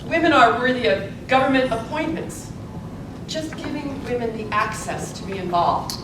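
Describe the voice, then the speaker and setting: An earnest, principled old suffragist of eighty-six played by a woman on stage, speaking deliberately in a slightly high voice. The theatrical delivery is heard from a distance in a reverberant room, with clear noise behind it. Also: expressive, natural voice. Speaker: actor in a theatre presentation. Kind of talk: theatrical interview and monologue, live stage performance. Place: indoor presentation space at a public library. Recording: echoey, distant, noisy library event recording.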